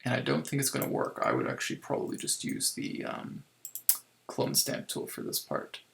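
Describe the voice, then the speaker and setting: A man giving a casual software tutorial step by step, thinking aloud informally as he works, in a creaky, gravelly voice. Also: mid-range voice, huge pitch swings, normal speed. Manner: informal, improvised, thinking aloud while working. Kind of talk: casual screen-recorded tutorial, explaining step by step.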